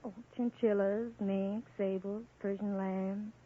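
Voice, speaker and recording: A woman's voice: medium pitch, little pitch movement, slow pace. A young woman answering as a quiz contestant in short, matter-of-fact, firm replies. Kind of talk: brief interview answers. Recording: tinny old radio broadcast.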